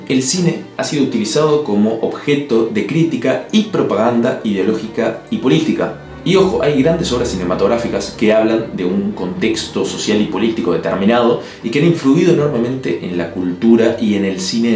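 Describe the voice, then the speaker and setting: Un hombre de Uruguay con acento del Río de la Plata, que narra un ensayo en video con opiniones firmes y toques de humor seco. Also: male voice, fairly low pitch, animated, moderate pace. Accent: Río de la Plata Spanish. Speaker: video essay host. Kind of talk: scripted narration. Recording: echoey room with soft music.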